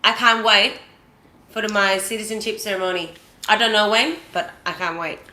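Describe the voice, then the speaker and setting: A woman's voice: medium pitch, steady tone, moderate pace, bright, forceful tone. A woman from Thailand, joking and chatting as co-host of a livestream. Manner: playful, joking, laughs a lot. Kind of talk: casual livestream chat. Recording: echoey room.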